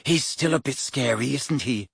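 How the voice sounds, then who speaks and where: A teenage girl from a storybook, put on by a male narrator, soft, proper and nervous. The voice is breathy and gently lilting, recorded very dry. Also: fairly high pitch, sing-song, slow pace, no room sound, voice pushed up, full character voice.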